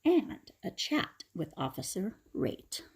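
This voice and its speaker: A woman reading a picture book aloud to children in a dramatic, expressive way, with chatty explanatory asides. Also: mid-range voice, slow pace, husky.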